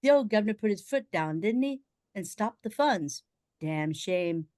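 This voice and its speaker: A woman playing a breezy, teasing upper-class gentleman in a comic period radio play, jaunty and mocking with posh old-fashioned diction. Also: medium pitch, animated, moderate pace, dry recording, studio quality, natural voice. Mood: jovial teasing.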